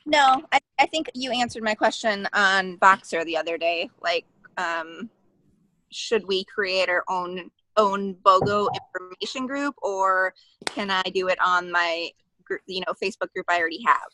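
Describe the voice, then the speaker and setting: Woman, team member, bright voice, casual chat with many restarts. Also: medium pitch, a little hiss or hum, low-quality recording. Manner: talks in quick restarts, many "like"s.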